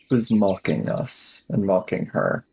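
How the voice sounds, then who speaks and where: A man roleplaying a matter-of-fact, slightly defensive schoolboy in a 1980s sci-fi mystery tabletop game, husky and halting. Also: lowish voice, steady tone, darkish tone, pitched below the performer's own voice. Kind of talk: improvised in-character tabletop roleplay.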